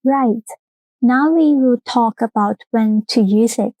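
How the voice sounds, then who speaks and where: Woman with a high, dry voice. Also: dark tone, dry recording, low-quality recording. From Thailand. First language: Thai. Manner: careful, measured explaining in second-language English. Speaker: podcast co-host. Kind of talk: explanatory cultural talk.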